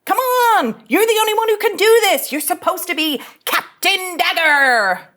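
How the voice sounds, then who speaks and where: A woman playing a cocky, teasing young starship officer in a sci-fi cartoon table read, casual and excitable. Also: very high-pitched, moderate pace, full character voice.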